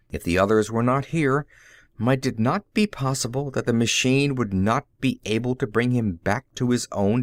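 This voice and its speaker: A man's voice: mid-range voice, no room sound, clean studio sound. A man reading classic fiction aloud as an audiobook narrator, in a theatrical, emphatic, dramatic style.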